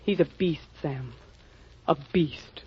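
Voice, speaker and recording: An actress playing a desperate wife, pleading earnestly and melodramatically in 1940s radio style. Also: female voice, sits low, slow, loud music behind the voice. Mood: grave, fearful. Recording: dull old radio recording.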